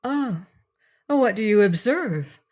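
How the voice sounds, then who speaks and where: Woman playing a sweet little girl, earnest, curious, slightly high, storybook. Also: animated, slow, darkish tone.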